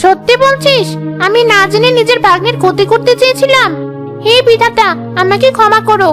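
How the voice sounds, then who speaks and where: A woman's voice: very high voice, fairly quick. A woman voicing a scheming female ghost with magical long hair in a cartoon, sweet-talking one moment and sly and vengeful the next. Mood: remorseful. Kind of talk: cartoon voice acting. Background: background music.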